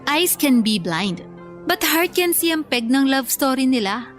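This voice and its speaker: An actress with a Filipino accent playing a frank, teasing best confidante in a radio drama, chatty and matter-of-fact. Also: female voice, high voice, animated, unhurried, faint music behind.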